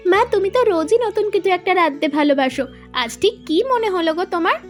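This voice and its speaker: A woman voicing a lively, playful young village daughter in a cartoon, eager and fond of secrets. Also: very high-pitched, fast, faint music behind. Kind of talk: cartoon voice acting.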